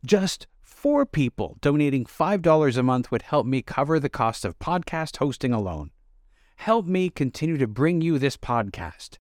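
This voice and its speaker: A man's voice: medium pitch, big pitch swings, normal speed, a little mellow, dry recording, clean studio sound. A man with a Canadian accent hosting a theater podcast, moving between scripted introductions and conversational interviewing.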